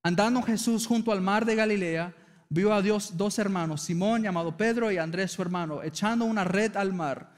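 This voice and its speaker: High-voiced Argentine preacher in a hall. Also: male voice, moderate pace. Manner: earnest preaching, calls the congregation for amens. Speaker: pastor. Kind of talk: sermon. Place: church sanctuary. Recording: reverberant church hall.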